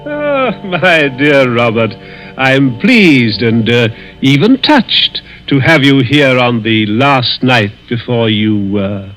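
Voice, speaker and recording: A man's voice: mid-range voice, big pitch swings, takes it slowly, a bit bright, soft background music, rough recording. An actor playing a sadistic, mock-courteous stepfather, silky and menacing, in 1940s radio drama style. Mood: mock-kindly, amused. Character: suave, gloating stepfather, a sadistic older villain.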